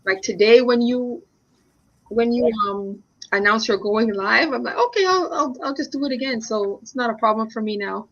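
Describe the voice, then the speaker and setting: A middle-aged woman from Barbados answers as a guest, measured and reflective. Her audio sounds a bit dull and lo-fi. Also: on the high side. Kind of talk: casual interview answers.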